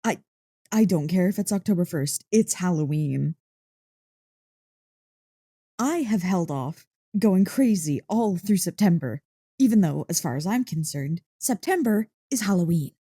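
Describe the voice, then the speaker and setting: An excitable dog-girl girlfriend, acted by a woman in an ASMR roleplay, with a soft, mellow voice recorded close and dry. She gushes and teases playfully, taking her time over the words as she talks one-on-one to her partner. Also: fairly low pitch, unhurried, pauses often, slightly rough, no echo, heavily acted. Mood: giddy, excited. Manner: gushing, teasing, playfully indignant.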